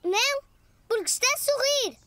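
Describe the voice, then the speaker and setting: A woman voicing a cheerful, excitable four-year-old boy in a cartoon dub, with a European Portuguese accent. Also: extremely high pitch, moderate pace, exaggerated voice. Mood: excited. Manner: eager, exclamatory. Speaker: voice actor. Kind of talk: cartoon dubbing.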